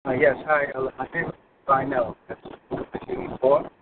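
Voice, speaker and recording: A man's voice: mid-range voice, little pitch movement, moderate pace. A man calling in from his car while driving to work, rambling with many restarts as he asks his questions. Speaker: caller. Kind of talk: call-in question. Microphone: phone. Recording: phone line with faint noise.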